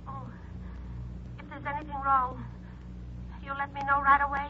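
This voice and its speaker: Radio drama: fretful middle-aged mother, high voice, hesitant and apologetic, dull vintage sound. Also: little pitch movement, moderate pace. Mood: pleading, grateful. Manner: hesitant, flustered, repeats words. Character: anxious, apologetic small-town mother of a grown daughter. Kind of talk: 1950s radio drama acting. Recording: muffled, noisy old radio recording.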